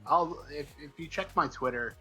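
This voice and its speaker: A man's voice: a little high, normal speed, music in the background. A man chatting casually as an interview guest, telling things in a rambling, wry, informal way. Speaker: guest comics writer.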